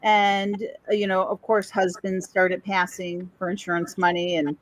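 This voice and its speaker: A woman's voice: medium pitch, level tone, moderate pace, no room sound, poor audio. A woman from Chicago chats as a guest on a podcast. Her talk is loose and rambling, and she often trails off before circling back to her point. Her voice is dry and sits close to the mic, with almost no room sound. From Chicago, USA. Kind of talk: casual storytelling conversation.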